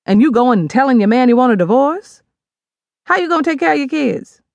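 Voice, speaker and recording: A woman's voice: high-pitched, expressive, brisk, creaky, darkish tone, no echo, pitched above the performer's own voice. Brief: a woman playing a no-nonsense older mom, brassy and plain-spoken, scolding, dry close sound. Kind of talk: audiobook character voice.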